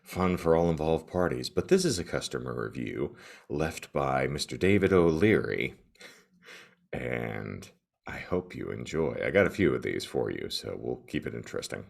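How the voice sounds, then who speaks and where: A man with a gravelly morning voice hosting a solo podcast, talking and reading aloud in a wordy, florid, tongue-in-cheek manner. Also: extremely low pitch, big pitch swings, moderate pace. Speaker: podcast co-host. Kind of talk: solo podcast monologue, comic reading of reviews.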